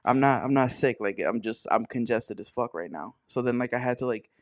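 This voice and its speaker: A young man hosts a loose podcast chat, cursing casually and cracking jokes between laughs. His voice sits at an ordinary middle pitch. It is recorded dry and close, with no room sound. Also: brisk, no room sound. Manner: profane, joking, laughs often. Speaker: podcast host.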